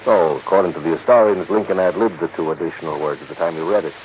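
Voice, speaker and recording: An actor playing a worried, pessimistic American insurance executive in a 1950s radio drama, explaining emphatically and prodding with questions. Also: male voice, deep voice, quick pace, lightly acted. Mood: emphatic explaining. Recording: dull, hissy 1950s radio recording.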